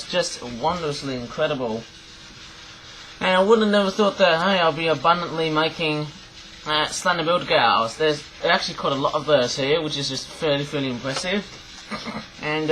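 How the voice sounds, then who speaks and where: A young man with a croaky voice, rambling through a casual review in his bedroom, full of fillers and restarts. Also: fairly high pitch, a bit bright, a little hiss or hum, poor audio. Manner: rambling, repeats himself, many fillers and restarts. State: croaky voice, coughing. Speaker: vlogger reviewing craft toys. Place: cluttered bedroom, indoors. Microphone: webcam at desk.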